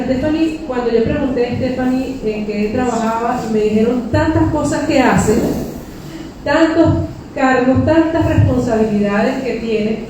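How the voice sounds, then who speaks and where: A woman's voice: a little high, mellow. Woman hosting, in a very echoey room. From Venezuela. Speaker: host and moderator of a panel discussion. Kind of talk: informal spoken introduction to a live public talk. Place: indoors in a library event space. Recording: very echoey room, distant mic, faint noise.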